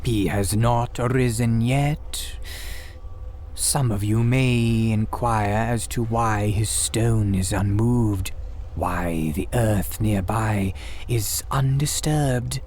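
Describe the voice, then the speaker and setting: A slow, sinister graveyard priest. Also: male voice, fairly low pitch, a little mellow, slight background noise. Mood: ominous courtesy. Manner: theatrical, formal archaic diction, deliberate dramatic pauses. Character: sinister, courteous old-fashioned graveyard priest guiding visitors. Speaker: host. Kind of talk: gothic radio drama storytelling.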